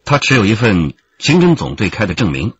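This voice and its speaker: An actor playing a wily, taunting senior detective in a radio drama, needling with leading questions. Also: male voice, lowish voice, sing-song, fairly quick, fairly bright tone, husky, no echo, lo-fi sound, exaggerated voice. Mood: brisk, commanding. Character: wily, taunting male police detective in command. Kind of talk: Chinese radio drama acting.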